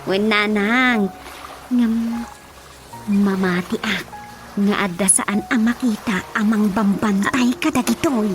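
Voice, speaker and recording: An actress playing an earnest, credulous village daughter in an Ilocano radio drama, with an Ilocano accent over music. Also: female voice, mid-range voice, fairly even intonation, slow, long pauses, close to an everyday voice. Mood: earnest wonder. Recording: music bed under voice.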